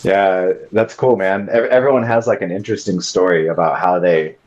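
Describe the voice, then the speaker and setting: A man's voice: sits low, moderate pace, a little mellow. A man hosting a podcast interview, laid-back and often restarting his words with "you know". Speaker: podcast host. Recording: dull lo-fi sound.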